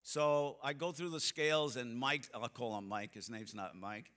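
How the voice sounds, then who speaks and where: A man in his seventies giving a church seminar talk, a folksy, animated storyteller who acts out the people in his stories. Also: mid-range voice, moderate pace. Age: 70s. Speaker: seminar speaker. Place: church sanctuary stage, seated. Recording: long hall reverb from the sanctuary.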